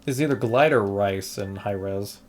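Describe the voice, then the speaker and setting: A man's voice: fairly low pitch, moderate pace. A man streaming an emulator test, chatting casually and rambling with many restarts, saying he is losing his voice. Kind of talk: casual gameplay stream chat.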